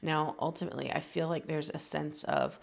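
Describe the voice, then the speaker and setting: A woman's voice: deep voice, brisk, vocal fry. A woman with a cold, sounding sniffly, giving a casual, rambling tarot reading full of slang and fillers. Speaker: tarot reader.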